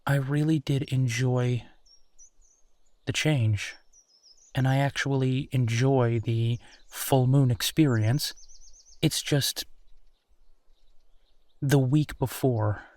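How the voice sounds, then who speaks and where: Slow, easygoing man acting as a werewolf boyfriend. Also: mid-range voice, fairly even intonation, darkish tone, no echo, lightly acted. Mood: reflective, candid. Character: friendly, easygoing male werewolf boyfriend in human form. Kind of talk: intimate roleplay audio, acted one-sided conversation.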